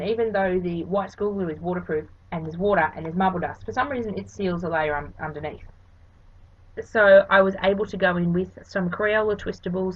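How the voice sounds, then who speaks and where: A middle-aged Australian woman with a hoarse, sniffly voice, chatting casually and self-deprecatingly as she talks through a craft project. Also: mid-range voice. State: hoarse, sniffly from hay fever. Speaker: art channel host. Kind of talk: casual craft tutorial voice-over. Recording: dull lo-fi home recording.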